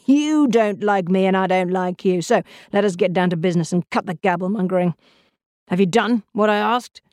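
A cantankerous, gouty old gentleman from a period storybook, acted out by a woman. The voice is scratchy and shrill, full of suspicion and bossy exclamations, in a very dry recording. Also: normal speed, dry recording, lightly acted. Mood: gruff contempt. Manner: cantankerous, exclaiming, barking orders.

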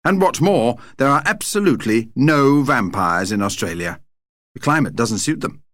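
Harried family dad for a storybook, read by a male narrator: polite, forced joviality, lively intonation. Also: mid-range voice, normal speed, no room sound, studio quality, close to an everyday voice. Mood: contented. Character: harried middle-class father, trying to sound jovial.

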